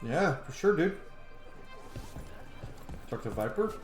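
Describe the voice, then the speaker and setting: Animated male gamer, roomy sound. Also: fairly high pitch, slow pace, faint music behind. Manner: reactive, informal, chatty. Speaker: gamer, channel host. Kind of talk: casual game playthrough commentary.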